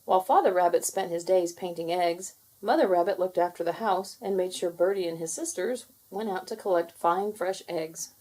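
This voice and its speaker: A woman narrating a children's storybook in an expressive, deliberate read-aloud manner. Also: lowish voice, poor audio.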